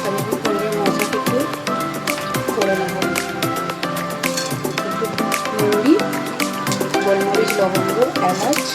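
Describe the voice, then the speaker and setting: A woman's voice: medium pitch, level tone, slow pace, long pauses. A young woman narrating her daily home routine in a casual, chatty, informal way, explaining things as she goes. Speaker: homemaker vlogger and mother. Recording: loud music under the voice.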